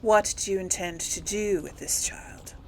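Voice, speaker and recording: A woman's voice: mid-range voice, measured pace, darkish tone, whispered, poor audio. A woman hosting a casual role-play game playthrough, thinking aloud and hesitating as she goes. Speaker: video game player and series host.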